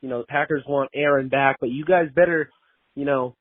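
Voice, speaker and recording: A man's voice: mid-range voice, fairly even intonation, brisk, no echo. Phone-line voicemail from a frustrated man. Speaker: fan leaving a voicemail. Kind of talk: casual voicemail rant. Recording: muffled, dry phone voicemail.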